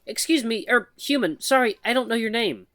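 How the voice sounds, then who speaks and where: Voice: medium pitch, lively intonation, a little fast, fairly bright tone, no echo, pitched above the performer's own voice, natural voice. A game reader voicing a nervous young mouse woman who stammers and apologizes.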